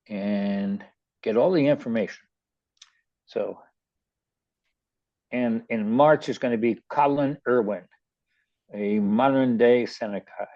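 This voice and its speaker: A man's voice: mid-range voice, warm tone, muffled recording. An older man with a Baltimore accent, hosting a radio show and telling stories in a rambling, casual way, often stumbling and repeating words. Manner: rambling, many restarts and stammered repeats. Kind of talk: casual storytelling chat.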